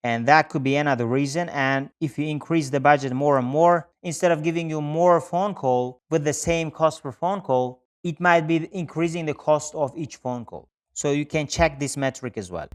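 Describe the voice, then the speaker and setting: Higher-pitched man narrating a tutorial. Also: moderate pace, hardly any pauses, clean tone. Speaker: online marketing tutorial presenter. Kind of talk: instructional screen-share tutorial narration.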